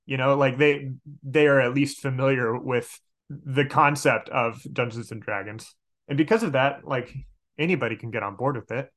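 A man's voice: medium pitch, fairly bright tone. Enthusiastic man chatting as a podcast guest. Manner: enthusiastic, halting with repeated words. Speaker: podcast guest, tabletop game master and elementary teacher. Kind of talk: casual podcast interview chat.

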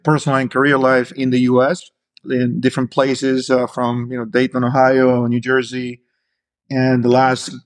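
A middle-aged man from Argentina, answering as a podcast guest in a hesitant way, full of fillers and restarts. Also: medium pitch. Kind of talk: casual interview talk.

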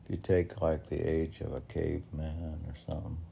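An older man reading poetry informally, with halting, musing asides in which he asks and answers himself. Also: extremely low pitch, monotone, gravelly, mellow, slight background noise. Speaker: poetry reader and podcast host.

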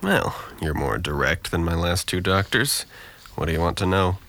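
A man's voice: sits low, lively intonation, moderate pace, creaky, fairly bright tone, dry recording, full character voice. An actor playing a depressed, guarded young man in an audio drama, with a dry, weary, self-deprecating manner.

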